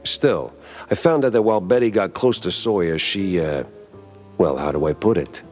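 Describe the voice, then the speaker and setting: An actor playing a wisecracking, deadpan hard-boiled mouse detective in a noir cartoon game. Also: male voice, low-pitched, normal speed, soft background music. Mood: wry. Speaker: private investigator protagonist.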